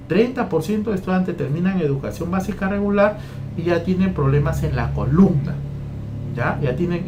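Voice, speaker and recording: A man's voice: fairly high pitch, darkish tone, slight background noise. A man from Peru training teachers, walking through a lesson plan and repeating phrases as he goes. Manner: repeats phrases, keeps asking "¿ya?".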